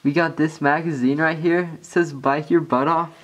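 A man's voice: fairly high pitch, fairly even intonation, normal speed. A young man casually narrating as he walks through an abandoned base, describing what he finds. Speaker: urban explorer. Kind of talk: handheld exploring vlog narration. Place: abandoned missile base, indoors and out.

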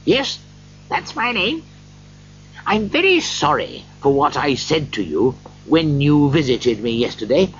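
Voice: medium pitch, sing-song, measured pace, highs cut off, slight background noise. A storyteller playing an elderly former miser who has turned giddy, generous and effusive, in a storybook reading. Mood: warm, earnest. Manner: exuberant, eager, effusive.

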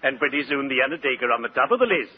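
An actor playing a morbidly genteel undertaker in a 1940s radio sitcom, formal, deliberate and drily macabre. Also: male voice, medium pitch, animated, natural voice. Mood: drily cheerful. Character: Digger, morbidly genteel, cheerful male undertaker. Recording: very noisy, muffled 1940s radio recording.

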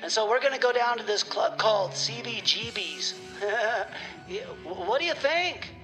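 A performer playing a rambling, laid-back caller leaving halting, chuckling messages in comedy radio theater. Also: medium pitch, lively intonation, a bit bright, rough recording. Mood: rambling, upbeat. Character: Jimmy, rambling laid-back clone leaving phone messages, name-dropping partygoer. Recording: music playing behind the voice.

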